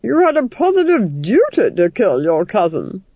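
A woman voicing a ruthless, commanding duchess for a storybook, with a stern, imperious and deliberate manner. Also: medium pitch, huge pitch swings, warm tone, no echo, lo-fi sound, voice pushed up. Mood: cold, scornful.

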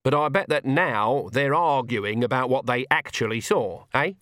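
A man's voice: medium pitch, moderate pace, a bit bright, no room sound, clean studio sound, close to an everyday voice. A man voicing a fierce, stubborn old country witch woman, blunt and folksy with an emphatic, scolding manner, in an audiobook. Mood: needling.

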